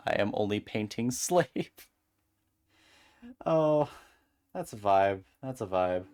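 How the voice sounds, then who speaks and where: Low, soft-spoken calm streamer. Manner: soft, calming, rambling with many fillers. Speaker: crafting streamer. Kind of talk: casual livestream chat while beading.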